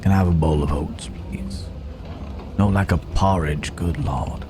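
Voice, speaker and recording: A man's voice: deep voice, fairly even intonation, background noise. A man playing a roguish, genteel Wild West stranger in an ASMR roleplay, wry and musing, speaking straight to the listener. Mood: polite, cheery. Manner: unhurried, wry, musing, with drawn-out pauses.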